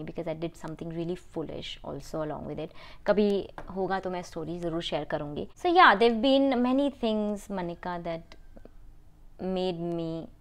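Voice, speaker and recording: A middle-aged woman with an Indian accent speaks candidly, as if to her followers. Her voice sits a little low for a woman. She talks in a halting, personal way and circles back to repeat phrases for emphasis. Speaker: vlogger answering viewer questions.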